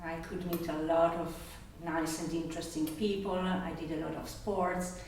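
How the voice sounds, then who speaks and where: A woman's voice: on the low side, fairly even intonation, moderate pace, a little mellow. A middle-aged woman from Germany and Italy, a non-native English speaker, chatting casually. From born in Germany, raised in Italy. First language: not English. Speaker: conversation partner in English-learning video. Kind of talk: casual getting-to-know-you chat. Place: echoey room. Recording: very echoey room, distant mic, faint noise.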